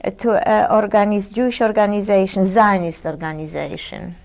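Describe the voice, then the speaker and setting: A Polish-born woman in her sixties giving oral history testimony in an interview, often pausing and searching for words. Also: medium pitch, steady tone, creaky, a little mellow. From Poland. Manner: hesitant, searching for words with many ums and restarts. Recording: 1980s audio cassette interview.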